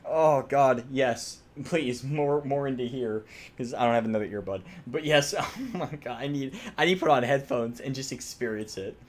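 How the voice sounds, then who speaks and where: A young man doing comedic reaction commentary on game voice lines, excitable and crude, exaggerating and swearing freely. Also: medium pitch, moderate pace. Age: young adult. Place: small room indoors, wall covered in drawings. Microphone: desk microphone close by.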